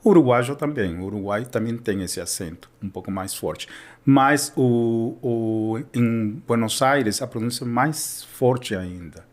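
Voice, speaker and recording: A man's voice: mid-range voice, unhurried. A Spanish teacher from Peru who speaks Portuguese with a Peruvian Spanish accent, explaining in a casual educational chat and often checking in with his listener.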